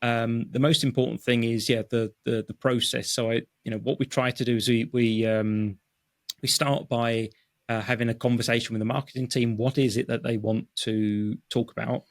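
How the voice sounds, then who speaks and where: A man's voice: medium pitch, level tone, moderate pace, no echo. Thoughtful man with a dry close sound. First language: English. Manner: thoughtful, many fillers and restarts. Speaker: guest, technical writer. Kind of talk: conversational interview. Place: home office.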